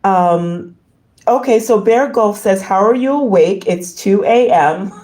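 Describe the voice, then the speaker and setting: A slightly hoarse woman hosting a casual livestream, reading and answering viewer comments in a halting, effusive way. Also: medium pitch, darkish tone. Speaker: livestream host.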